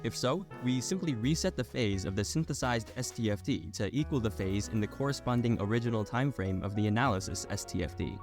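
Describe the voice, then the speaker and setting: A man narrating a scripted technical explainer in a clear, step-by-step manner. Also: fairly low pitch, lively intonation, brisk, hardly any pauses, over music. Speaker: video tutorial narrator.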